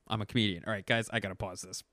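A man who does stand-up, rambling casually to camera at home with a hoarse voice, clearing his throat often and swearing freely. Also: fairly low pitch, fairly even intonation, fast, bright, forceful tone, no echo, clean studio sound. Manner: rambling, self-correcting, profane. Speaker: stand-up comedian. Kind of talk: casual talking-to-camera commentary.

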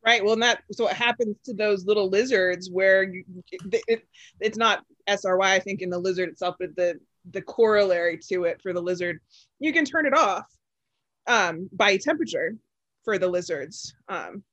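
A woman who calls her own voice low for a woman, talking in an animated, chatty way with plenty of fillers and laughs. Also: normal speed, dry recording, dull audio. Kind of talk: casual podcast chat.

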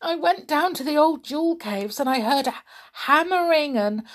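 A small shy rabbit with a high, squeaky, pleading voice, put on by a woman reading aloud. He speaks loudly and eagerly, swooping up into high notes, for a storybook. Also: animated, normal speed. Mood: frightened.

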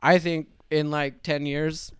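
Joking man with a crisp voice. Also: on the high side, flat delivery, slow, fairly bright tone, no room sound, studio quality. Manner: joking, rambling. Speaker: podcast co-host. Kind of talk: casual chat.

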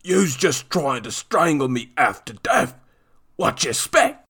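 A man voicing a sly, cringing street crook with a raspy, wheedling voice and broken grammar. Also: fairly high pitch, big pitch swings, a little slow, a bit bright, voice pushed up, exaggerated voice.

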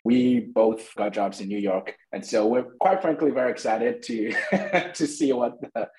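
A man in his thirties from Ghana, a startup co-founder, answering interview questions in a relaxed way with frequent "uh" and "you know.". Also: medium pitch, unhurried, poor audio.

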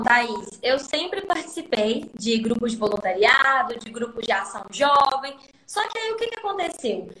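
A young politician with a Mineiro accent speaks with conviction, her voice high and bright. She sounds earnest and reflective. Her words echo around the room of a live call. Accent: Mineiro Brazilian Portuguese. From Minas Gerais, Brazil. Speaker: guest, politician.

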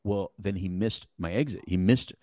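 A man whose first language is Spanish, telling stories in casual podcast conversation with lively, slangy delivery. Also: deep voice, normal speed, dry recording, clean studio sound. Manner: animated storyteller, slangy, says "bro". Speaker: podcast co-host.

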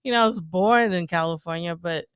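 A woman from Ethiopia talks in a loose, rambling way, stacking fillers and little laughs. Her voice is smooth and resonant, and it is recorded dry and close. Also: lowish voice, fairly quick, a bit bright, dry recording. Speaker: guest. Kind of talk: casual chat.

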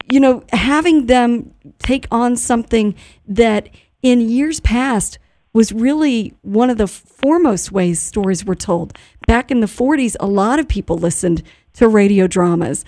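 A woman's voice: fairly high pitch, dark tone, no room sound. Woman radio host, close dry sound. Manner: effusive, complimentary. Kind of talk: conversational radio interview.

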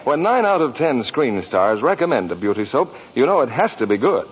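Animated, husky vintage radio announcer man. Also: mid-range voice, brisk. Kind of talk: scripted 1940s radio ad dialogue. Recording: dull old radio recording.

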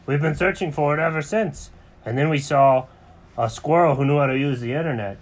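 A man's voice: on the high side, a little fast, close to an everyday voice. A man voicing an earnest, mild-mannered leader pigeon who explains things hesitantly in a children's story. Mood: wistful.

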